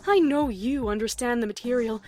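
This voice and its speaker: A woman voicing a stern, disappointed pony schoolteacher in a cartoon fan fiction story, admonishing in a measured classroom manner. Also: on the high side, darkish tone, faint music behind, natural voice.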